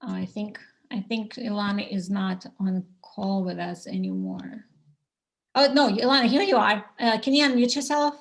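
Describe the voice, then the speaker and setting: A woman from Russia with a Russian accent, leading an online prayer service over a video call and speaking haltingly with many fillers. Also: mid-range voice, vocal fry.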